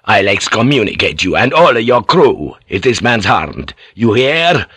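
A man's voice: on the low side, very animated, a little slow, fairly bright tone, husky, no echo, full character voice. A man voicing a stern, righteously indignant senior churchman in an audiobook, giving emphatic, imperious commands. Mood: outraged command.

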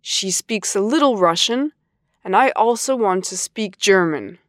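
This is a woman voicing lines for a language course. She speaks at a very slow, deliberate pace and pronounces each word with care. The recording is close and dry. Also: mid-range voice, animated, no echo. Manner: slow, deliberate, carefully enunciated. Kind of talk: scripted textbook dialogue reading.

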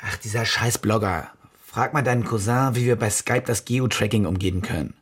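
An actor playing a harried, scheming German reporter in a radio drama, speaking casually and haltingly with a German accent. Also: male voice, on the low side, husky. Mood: annoyed, scheming. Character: harried, slangy war-video faker, a scheming male reporter. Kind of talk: naturalistic radio drama dialogue.

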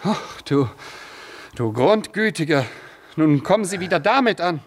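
A man's voice: on the high side, animated, unhurried. An actor playing an impatient, hot-tempered, mocking young man in a comic radio play, giving curt, urgent commands. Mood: exasperated. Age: young adult.

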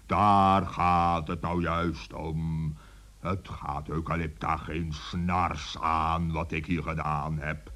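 An actor playing a kind, dignified giant in a children's radio play, solemn and measured. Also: male voice, extremely low pitch, little pitch movement, fairly bright tone, exaggerated voice. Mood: solemn, kindly.

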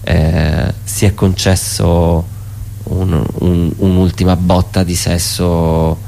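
Man, very low pitch, reflective interview talk, halting, word repetitions. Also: level tone, vocal fry, warm tone, a little hiss or hum. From Italy. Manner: halting, repeats words while thinking. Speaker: theatre artist, interview guest. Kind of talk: reflective conversational radio interview.

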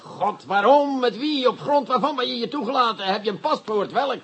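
An actor playing a brusque, exasperated police commissioner in a radio drama, officious and sardonic. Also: male voice, extremely high pitch, expressive, normal speed, background noise.

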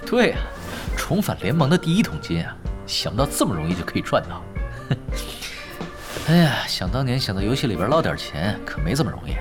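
A shameless, laid-back young gamer in an audio drama. He teases nonchalantly at a middle pitch that swings very widely, with music behind him. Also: wildly swooping pitch, a little fast. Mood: gleeful. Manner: nonchalant, teasing. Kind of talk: Chinese audio drama acting.

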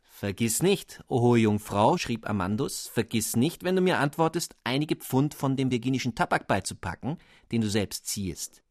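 A man's voice: expressive, moderate pace, no room sound, clean studio sound, natural voice. A man voicing a lovesick young student who writes gushing, flowery love letters. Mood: swooning. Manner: florid, swooning, high-flown.

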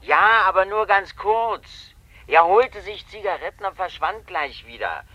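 An actor playing a cocky, cowardly small-time crook and informant in a German radio crime drama, boastful, slangy and whining. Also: male voice, high-pitched, expressive, unhurried, bright, forceful tone, heavily acted. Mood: smug.

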